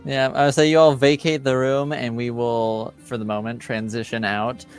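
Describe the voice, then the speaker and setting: A game master narrating a tabletop fantasy game in a halting way, with pauses between phrases. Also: medium pitch, little pitch movement. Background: soft background music.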